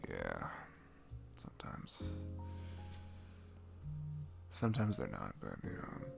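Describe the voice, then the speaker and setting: A man's voice: rumbling low, wildly swooping pitch, gravelly, over loud music, full character voice. A man playing a dorky, shy, lonely young best friend in an intimate ASMR roleplay, rambling hesitantly and putting himself down. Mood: soft, wistful. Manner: hesitant, self-deprecating, halting with fillers and sighs.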